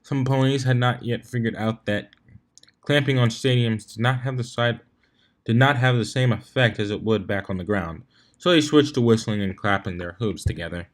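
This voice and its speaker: A man's voice: medium pitch, normal speed. A man narrating fan fiction in a storybook reading style.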